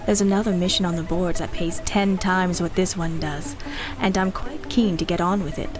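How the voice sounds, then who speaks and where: A woman's voice: on the low side, moderate pace, music in the background, natural voice. An actress playing a cynical, ruthless female mercenary in a 1930s-style radio drama, speaking with arch, mocking condescension. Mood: smug, teasing.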